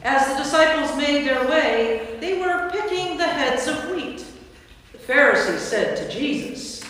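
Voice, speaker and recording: A woman giving a measured, storytelling narration in a staged scripture reading. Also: high voice, lively intonation, a bit bright, a little hiss or hum. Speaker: narrator, Episcopal priest. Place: large church interior. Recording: very echoey church, distant mic.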